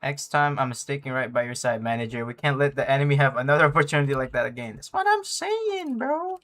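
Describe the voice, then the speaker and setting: Guy streaming a game, jokey slangy chat, regular pitch, music playing behind him. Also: very animated, fast, fairly bright tone. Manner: slangy, joking, reads dialogue aloud. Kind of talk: casual game livestream commentary.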